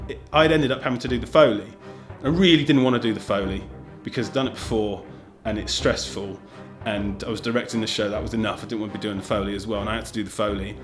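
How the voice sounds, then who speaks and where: Man telling a story over music. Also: fairly low pitch. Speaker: audio drama director. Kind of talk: casual interview anecdote. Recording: music playing behind the voice. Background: background music.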